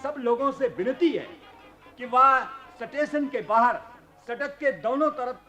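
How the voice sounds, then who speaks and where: Radio drama: pleading male railway announcer, extremely high pitch, deliberate pace, faint music behind. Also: sharp tone, full character voice. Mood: urgent, officious. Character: shouty village railway station announcer pleading for order. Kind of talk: radio play public announcement.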